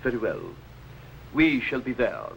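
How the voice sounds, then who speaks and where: A man's voice: mid-range voice, sing-song, measured pace, vocal fry. Casting: tyrannical medieval king, pompous and commanding, swooping delivery, noisy vintage TV soundtrack. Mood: grudging. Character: arrogant, tyrannical, scheming medieval English king. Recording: noisy 1950s television soundtrack.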